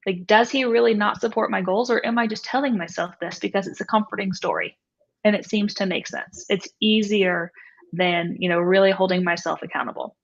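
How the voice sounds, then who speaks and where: A woman's voice: mid-range voice, steady tone, brisk, gravelly. A woman guest speaks in a reflective, conversational way and often tags her points with "right?". The recording sounds dull and slightly lo-fi. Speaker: author and coach, guest. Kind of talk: conversational interview.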